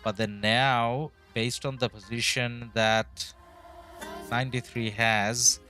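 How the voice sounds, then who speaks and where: Man, live stream host, casual game commentary, relaxed and hedging, music playing behind. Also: medium pitch, slow pace. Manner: relaxed, hedging, reads chat aloud. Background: background music.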